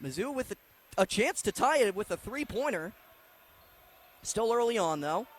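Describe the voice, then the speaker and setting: A man's voice: high voice, very animated, moderate pace, fairly bright tone. A man doing live radio play-by-play of a basketball game in clipped phrases that track the action. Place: at a basketball arena. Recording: live arena ambient noise.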